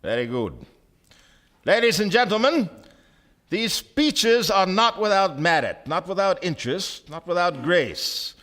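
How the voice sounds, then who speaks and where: A man playing a sly, self-assured gentleman speculator in a stage radio play, speaking formally like an orator. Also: sits high, very animated, measured pace, full character voice. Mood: firm. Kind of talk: stage radio drama acting.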